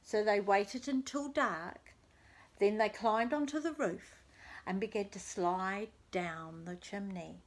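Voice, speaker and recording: A woman storyteller reading a children's tale expressively and deliberately, as if to young listeners. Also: medium pitch. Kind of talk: scripted children's story narration.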